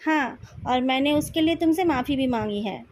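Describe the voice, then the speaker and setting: An Indian-accented woman voicing a young male company president in a novel, calm, measured and coolly taunting. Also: sits high, close to an everyday voice.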